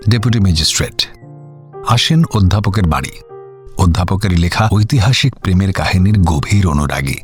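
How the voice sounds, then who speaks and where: A man narrating a scripted radio story. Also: low voice. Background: background music.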